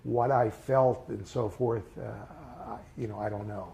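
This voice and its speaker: Halting older man, soft-spoken and mellow. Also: on the low side, steady tone, creaky, slight background noise. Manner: halting, many fillers and restarts, reflective. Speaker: interviewee. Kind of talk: recalled personal memories in a casual interview.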